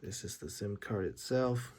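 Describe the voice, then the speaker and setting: A man's voice: on the low side, expressive, measured pace, darkish tone, husky. A man giving a casual, hands-on gear demonstration, informal and a little hesitant as he thinks aloud. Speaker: gear reviewer. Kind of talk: casual unboxing and setup demonstration.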